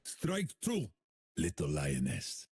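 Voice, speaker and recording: An actor playing a stern, defiant warrior in a video game, speaking in a solemn, declamatory way. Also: male voice, very deep voice, wildly swooping pitch, takes it slowly, darkish tone, husky, dry recording, clean studio sound, full character voice. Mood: solemn resolve. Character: stern, defiant mortal warrior, rallying soldiers. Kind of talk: dramatic video game voice acting. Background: game music.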